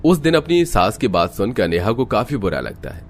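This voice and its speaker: A man's voice: fairly low pitch, very animated, normal speed, faint music behind. A man reading scripted narration for a cartoon story.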